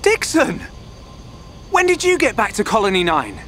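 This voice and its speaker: An actor playing an earnest, thoughtful teenage researcher in a video game cutscene. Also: male voice, high-pitched, wildly swooping pitch, moderate pace, fairly bright tone, a little hiss or hum, heavily acted. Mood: surprised.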